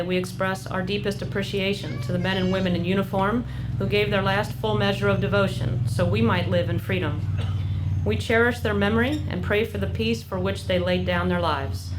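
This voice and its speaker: An American woman reading a formal ceremonial address in measured oratory, as an official at an event. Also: medium pitch, background noise. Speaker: mayor reading a proclamation. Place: outdoor memorial ceremony.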